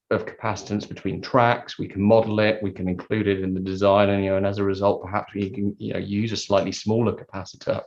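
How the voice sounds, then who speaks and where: Dull lo-fi recording of a low, even-voiced man. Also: gravelly. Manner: halting, repeats words while thinking. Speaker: interview guest, loudspeaker designer. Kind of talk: conversational technical explanation. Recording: dull lo-fi sound.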